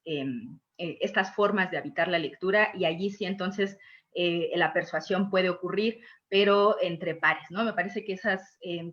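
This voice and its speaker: A woman's voice: on the low side, a bit bright. Woman reflecting aloud in dull lo-fi audio. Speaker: panelist on reading and early childhood. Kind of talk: reflective panel discussion talk. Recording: dull lo-fi video call audio.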